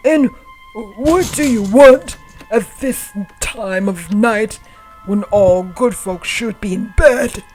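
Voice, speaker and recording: A woman voicing a frightened old backwoods man in a storybook tale, calling out loudly and urgently. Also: takes it slowly, soft background music, natural voice. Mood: alarmed, indignant. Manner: loud, urgent, high-pitched calling. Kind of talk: storybook character voice.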